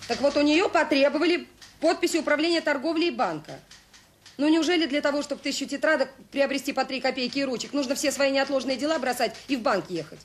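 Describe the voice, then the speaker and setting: An actress playing a warm, determined director of a children's home in a radio drama, brisk and plain-spoken with hesitant pauses. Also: female voice, high-pitched, close to an everyday voice. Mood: insistent, indignant. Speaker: orphanage director. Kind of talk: naturalistic radio drama acting. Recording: noisy old radio play recording.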